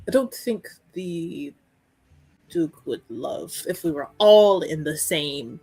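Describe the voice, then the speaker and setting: A woman roleplaying a kind, proper young noblewoman with a gentle, explaining manner in a tabletop fantasy game. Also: low voice, expressive, takes it slowly, slightly rough, dry recording, voice pushed down, exaggerated voice, rough recording. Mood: hesitant, tactful.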